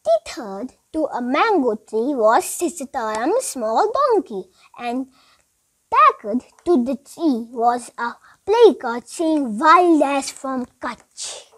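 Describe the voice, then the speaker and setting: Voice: high voice, big pitch swings, slow, fairly bright tone. A child of about eight reading a storybook aloud as a school recitation, with emphatic delivery and small slips.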